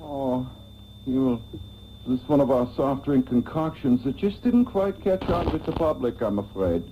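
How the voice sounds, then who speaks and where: A man's voice: medium pitch, expressive, unhurried. A man playing a mock-sinister, taunting interrogator in a comedic radio serial, theatrical and menacing. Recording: dull, faintly noisy radio tape.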